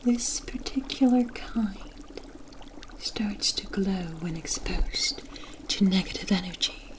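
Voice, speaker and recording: A woman playing a kindly forest witch in an ASMR roleplay, gentle and reassuring, with formal storybook phrasing. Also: mid-range voice, takes it slowly, close to an everyday voice. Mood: gentle, confiding. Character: kindly forest witch brewing a protective potion.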